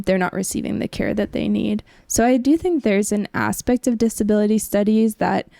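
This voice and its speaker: A woman's voice: medium pitch, gravelly, dark tone, no echo, clean studio sound. A young woman hosting a podcast, talking through ideas in a casual, tentative and reflective way. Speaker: podcast host. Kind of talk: thoughtful discussion, casual.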